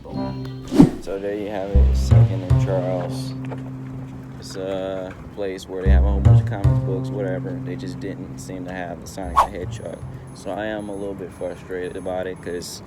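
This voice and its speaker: A man's voice: deep voice, level tone, normal speed. A man vlogging casually to camera and friends, joking in loose slang and swearing freely. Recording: music behind the voice.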